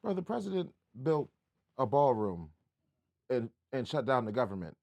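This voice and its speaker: A man's voice: medium pitch, very animated, moderate pace, gravelly, a little mellow, dry recording. A man hosting a podcast, chatting in a laid-back, slangy way and laughing often. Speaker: podcast host. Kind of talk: casual interview chat.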